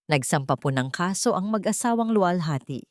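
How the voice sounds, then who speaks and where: A woman's voice: lowish voice, expressive, a little fast, darkish tone, no echo, studio quality, lightly acted. A woman storyteller voicing a grave, respectful lawyer with a formal, deferential manner. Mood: serious, urgent. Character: serious, respectful lawyer bearing bad news.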